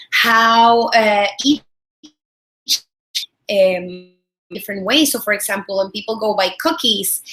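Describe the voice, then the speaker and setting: A woman's voice: medium pitch, bright, forceful tone, low-quality recording. A woman in her thirties from El Salvador with a Salvadoran Spanish accent, teaching a business webinar in a friendly way, repeating words and using many fillers. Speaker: product strategist and trainer. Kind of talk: webinar training.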